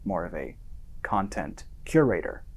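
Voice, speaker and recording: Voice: deep voice, very animated, slightly rough, full character voice. An actor as a smug, theatrical, wide-swinging content creator. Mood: smug, genial. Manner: condescending, theatrical, deliberate pauses. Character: smug, self-satisfied content creator preaching success and positivity. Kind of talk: dramatic radio monologue.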